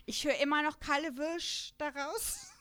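A woman's voice: sits high, lively intonation, slow, fairly bright tone. Chatty young woman podcast host. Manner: chatty, many fillers, laughs between points. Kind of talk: casual podcast chat about an audio drama.

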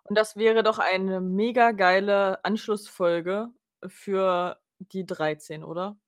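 A woman with a mid-pitched voice, co-hosting a relaxed podcast. She searches for words with frequent fillers and breaks into giggles now and then. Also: slow pace. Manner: hesitant, many fillers, giggly. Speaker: podcast co-host. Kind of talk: casual chat.

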